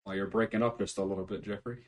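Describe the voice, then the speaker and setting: Low-voiced laid-back male podcast host. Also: fairly even intonation, fast talker. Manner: laid-back, swears freely, laughs often. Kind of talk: casual chat.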